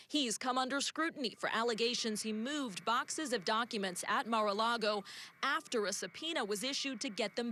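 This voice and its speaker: An American woman delivers a scripted news report in a formal style. Her voice is fairly high and very bright, with a forceful edge. The recording is very dry. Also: dry recording. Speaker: TV news correspondent.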